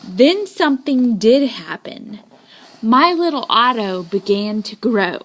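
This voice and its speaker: A woman reading a children's story aloud with dramatic, deliberate emphasis, telling it in a child's first person. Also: a little high, very animated, slight background noise, low-quality recording. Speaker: storybook reader.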